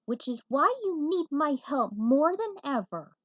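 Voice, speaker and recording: A woman's voice: high voice, very animated, a little slow, dry recording, pitched above the performer's own voice. A woman voicing an arrogant, resentful damned man in his twenties in a fan fiction story, haughty and aggrieved. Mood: smug. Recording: dull, muffled lo-fi recording.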